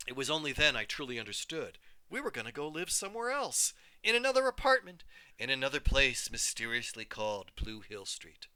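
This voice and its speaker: A teacher reading a children's book aloud with lively, expressive storytelling. His voice is very bright and clean, with a pitch that rises and falls a lot. The sound is close and dry. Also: smooth, no echo. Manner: animated, voices each character.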